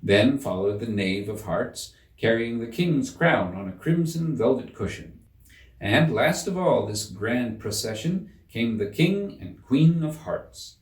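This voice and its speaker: A man giving a measured, theatrical reading of a children's story in an echoing room. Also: medium pitch, animated, far from the mic. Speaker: audiobook narrator. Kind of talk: expressive scripted storybook narration. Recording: roomy echo, slightly off the mic.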